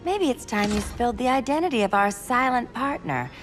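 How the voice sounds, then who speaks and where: A woman's voice: fairly high pitch, lively intonation, a little fast, lightly acted. An actress playing a sly female thief villain in a video game, sardonic and teasing. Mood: mocking, probing. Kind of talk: video game cutscene acting. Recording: music behind.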